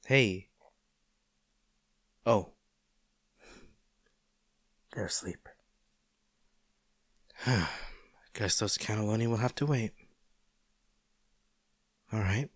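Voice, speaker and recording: A man's voice: fairly low pitch, extremely slow, long pauses, dry recording. Man acting a caring, worried boyfriend, close and dry. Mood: gentle, soothing. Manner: tender, coaxing, hesitant, speaking directly to the listener. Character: caring, worried boyfriend nursing an injured partner. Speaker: audio roleplay voice actor. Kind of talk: intimate audio roleplay acting.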